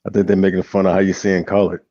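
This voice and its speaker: A man's voice: low voice, flat delivery, fast talker, mellow, no echo, highs cut off. A man co-hosting a casual livestream, laid-back and agreeable with short replies. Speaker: co-host.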